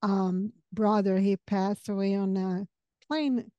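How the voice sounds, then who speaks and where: A woman's voice: mid-range voice, steady tone, slow, mellow, no room sound, lo-fi sound. A middle-aged woman from southern Brazil with a Brazilian accent, hosting a conversational interview close on a podcast microphone. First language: Portuguese. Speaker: podcast host. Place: home study with bookshelves, video call. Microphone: podcast microphone on boom arm, close.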